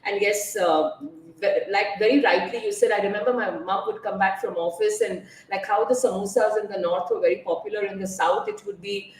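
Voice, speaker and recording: A woman's voice: mid-range voice, a little fast, far from the mic. This is a woman speaking as a podcast guest, sharing her own story in a loose, conversational way. She hesitates a lot, with many "uh"s and restarts. Her voice sits back from the microphone in an echoey room. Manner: open and personal, with frequent "uh" fillers and restarts. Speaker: nutrition guest. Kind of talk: casual interview conversation.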